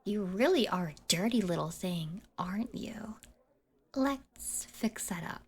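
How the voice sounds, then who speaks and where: A woman's voice: medium pitch, expressive, unhurried, a little hiss or hum. A woman playing a smug school bully's sidekick in an ASMR roleplay, teasing and belittling in a mock-sweet way.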